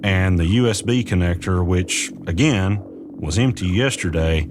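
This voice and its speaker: An actor playing an uneasy man who recounts eerie events in measured, suspenseful first-person audio drama narration. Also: low-pitched, background noise. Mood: tense recounting. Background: music.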